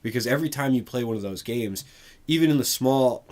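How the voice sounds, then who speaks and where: A man co-hosting a casual discussion, reasoning out loud in an informal way and leaning on "like". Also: mid-range voice, a little fast. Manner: informal, frequent "like" while reasoning. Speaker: co-host. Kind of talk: casual discussion of films and games.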